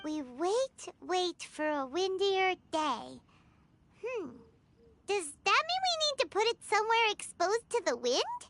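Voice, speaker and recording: A woman's voice: extremely high pitch, very animated, slow, very noisy, heavily acted. A woman voicing a tiny, chattering, earnest fairy companion in a video game. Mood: cheerful. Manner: refers to self in third person, exclamatory.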